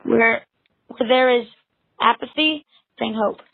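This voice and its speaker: Over a thin phone-like recording, a woman leads a prayer in a high-pitched but husky voice. She speaks in short, deliberate phrases and leaves space between them. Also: no echo. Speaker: congregation member leading a responsive prayer. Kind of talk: spoken prayer with pauses for response. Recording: narrow phone-like sound, dry. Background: soft guitar music.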